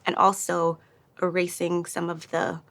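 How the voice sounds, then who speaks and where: A woman in her forties speaking in a measured, self-assured way during a candid conversation. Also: on the low side, completely flat delivery, a bit bright. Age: 40s. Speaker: interviewee and interviewer.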